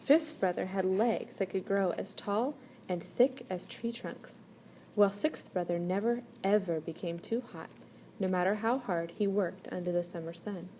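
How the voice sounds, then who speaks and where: A woman reading a children's story aloud as an expressive storyteller. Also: mid-range voice, normal speed. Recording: clear background noise.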